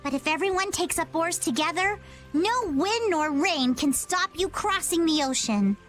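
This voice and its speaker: High-voiced seafaring girl. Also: animated, moderate pace, fairly bright tone, soft background music. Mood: sage encouragement. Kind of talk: dramatic video game voice acting.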